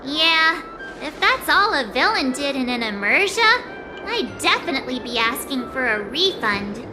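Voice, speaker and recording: A woman's voice: high voice, sing-song, a little slow, music in the background, rough recording. An actress playing a plucky, confident young rookie swordswoman in a video game, spirited, cheeky and defiant. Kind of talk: animated video game voice acting.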